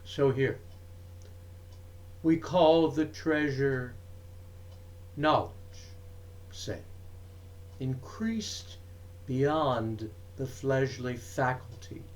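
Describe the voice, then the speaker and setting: A man giving a dramatic recitation of poetry, with deliberate, emphatic phrasing and frequent pauses. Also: medium pitch, slight background noise. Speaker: reader of poetry.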